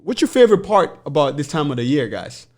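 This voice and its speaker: A man's voice: a little high, big pitch swings, quick pace, a little mellow. A man in his thirties from the Congo, hosting a podcast and asking questions in a friendly, enthusiastic way. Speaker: podcast host. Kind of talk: casual interview chat.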